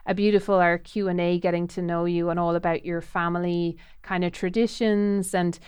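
A woman's voice: on the low side, fairly even intonation, moderate pace, darkish tone, clear, resonant tone, dry recording. A woman hosting a casual interview, chatty and effusive, often trailing off and restarting. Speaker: podcast host.

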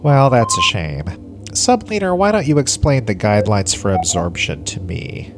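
A man's voice: on the low side, big pitch swings, normal speed, over music. A man playing a lazy older alien starship commander, pompous yet casually indifferent, in a comic sci-fi audio play. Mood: breezy, evasive. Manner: pompous log-keeping sliding into casual indifference.

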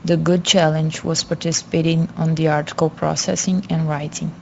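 Gravelly young woman, dull audio. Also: low voice, little pitch movement, moderate pace. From Brazil. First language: Portuguese. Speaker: guest student. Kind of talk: answering interview questions. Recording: dull lo-fi audio, faint noise.

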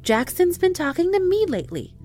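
A woman's voice: very high-pitched, very animated, brisk, darkish tone, faint music behind. An actress in an audio drama playing a chatty, emphatic woman who runs a moon base's inventory and gets easily frustrated. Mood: confiding, chatty.

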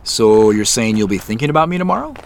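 An actor playing an impulsive man chasing a mystery, speaking slowly and laughing often in an audio drama. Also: fairly low pitch, sing-song, gravelly, slight background noise. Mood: amused. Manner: slow, amused, laughs often.

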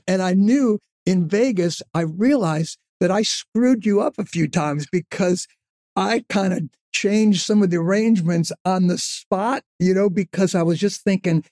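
Storytelling older man, slightly high voice. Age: 70s. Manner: storytelling, animated, quotes himself often. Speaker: podcast guest, singer. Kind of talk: casual conversation.

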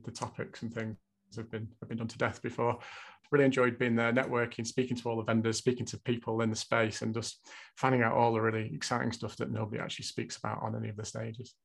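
A man co-hosting a podcast in a flat, measured way, sharing his thoughts in casual chat. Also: medium pitch, creaky. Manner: flat, even-toned, measured. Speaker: podcast co-host.

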